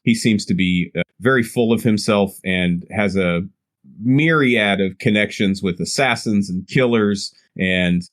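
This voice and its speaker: Hesitant, rambling man with a lowish voice. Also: a little slow. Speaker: tabletop game player. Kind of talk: improvised in-character conversation.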